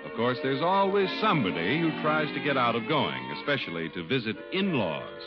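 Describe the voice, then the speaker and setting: A man's voice: mid-range voice, very animated, fairly quick. A man with an American accent giving a polished, emphatic scripted read as a 1950s radio announcer. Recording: muffled old radio broadcast. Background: music.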